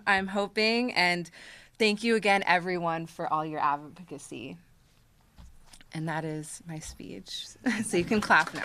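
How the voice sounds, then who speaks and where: A young woman speaks into a lectern microphone, her voice lowish in pitch yet bright and crisp. She stutters openly, repeating sounds and words as she moves through a prepared speech with candid, self-deprecating asides. The sound is dry and close. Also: no room sound. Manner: stutters with frequent sound and word repetitions. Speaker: podcast host and press conference emcee. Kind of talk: prepared speech at a press conference.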